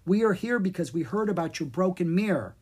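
A determined young girl in a fairy tale, read by a woman, earnest and forthright. Her voice is raised in pitch and animated. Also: fairly quick, slightly rough.

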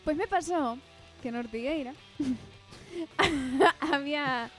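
Young woman, Spanish accent, high voice, casual podcast chat, faint music behind. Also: slow, fairly bright tone. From Canary Islands, Spain. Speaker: podcast co-host. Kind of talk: casual chat and anecdote telling.

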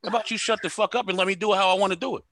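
A man's voice: sits high, fairly even intonation, racing, gravelly, a bit bright, dry recording. A man in casual podcast banter, talking bluntly and with a lot of swearing. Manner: blunt, profane, agreeable banter. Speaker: podcast co-host.

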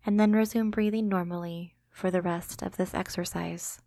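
Calm woman guiding meditation, evenly pitched. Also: dry recording, studio quality. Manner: gentle, calming, measured delivery. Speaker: host and narrator of a guided meditation podcast. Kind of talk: scripted guided imagery meditation narration.

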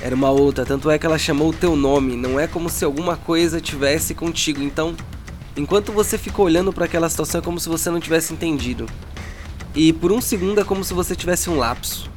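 A man with a Brazilian accent working as game master, narrating a tabletop role-playing story in a dramatic, deliberate way with pauses. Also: a little high. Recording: music bed behind the voice. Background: background music.

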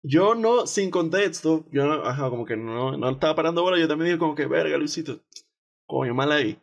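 Male podcast co-host, young, Venezuelan accent, pitched a bit above average, loose slangy gossip delivery with bits of English. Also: expressive, brisk, vocal fry, dull audio. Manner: informal, slangy, peppered with English words. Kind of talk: casual gossip chat, partly reading out posts.

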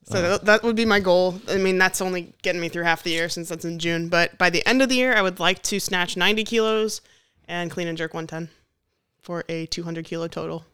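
A woman with a bright, smooth and crisp voice talks candidly in a casual podcast chat. She thinks aloud as she goes, and the close mic sounds dry and dead, with no room around her. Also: fairly low pitch, brisk, no room sound. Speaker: podcast guest, competitive weightlifter.

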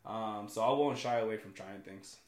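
A man's voice: lowish voice, normal speed, reverberant. A man from California, a guest on a casual podcast, talking in a rambling way with lots of fillers and repeated words.

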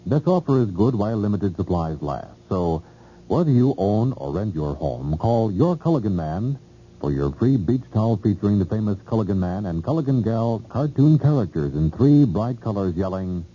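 Male American announcer for radio ad copy; low and animated, muffled vintage recording with some hiss. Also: normal speed, breathy edge. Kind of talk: scripted radio advertisement read.

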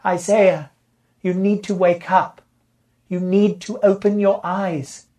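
Male narrator as female ship intelligence, raised pitch, direct, insistent, calm, sci-fi audiobook. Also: slow. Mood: urgent, insistent. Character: Thea, calm, insistent female ship intelligence speaking inside the pilot's head.

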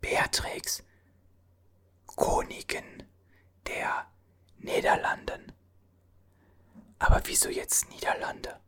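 A performer whispering as a bewildered time traveler from an older era, puzzling over strange objects in a halting voice full of restarts. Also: fairly bright tone. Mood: puzzled. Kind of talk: whispered ASMR roleplay.

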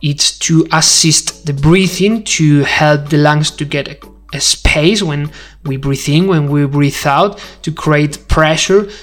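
A man from Spain with a Spanish accent, hosting a podcast as a conversational, educational monologue, speaking emphatically and putting rhetorical questions straight to the listener. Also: fairly high pitch. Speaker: podcast host.

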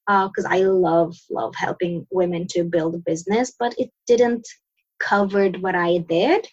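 A woman from Sweden, a coach and podcast guest, answering questions in a relaxed way, pausing and restarting as she searches for words. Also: mid-range voice, dull audio. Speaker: mindset and success coach, guest. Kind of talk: casual interview answers.

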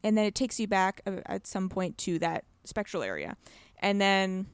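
Young woman, podcast guest. Lively, expressive intonation, conversational and wandering, dry close sound. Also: mid-range voice, normal speed, smooth, no echo. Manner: animated, rambling, frequent "like". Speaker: podcast guest discussing a video game. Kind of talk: casual chat.

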